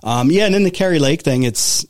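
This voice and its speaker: Male talk host, voice going hoarse, rambling, close dry studio sound; casual political chat. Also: a little high, lively intonation, a little fast, no room sound. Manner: rambling, many restarts.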